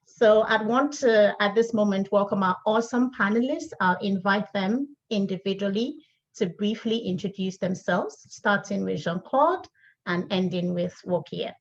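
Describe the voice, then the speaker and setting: A woman's voice: medium pitch, moderate pace. A woman from Nigeria co-hosting an online panel, introducing guests in an upbeat, enthusiastic way. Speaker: co-host. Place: video call. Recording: dull lo-fi call audio.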